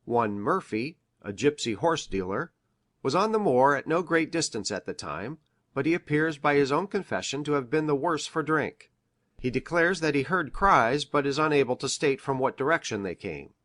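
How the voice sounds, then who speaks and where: A man playing an earnest country doctor in an audiobook, reading aloud in a formal, deliberate way. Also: mid-range voice, lively intonation, fairly bright tone, close to an everyday voice. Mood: formal, measured. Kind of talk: measured dramatic audiobook reading of formal prose.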